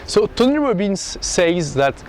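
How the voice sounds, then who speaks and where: Encouraging male interviewer, slightly high voice. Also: very animated, unhurried, a little mellow, a little hiss or hum. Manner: summarizing, encouraging questions in non-native English. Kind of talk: interview chat.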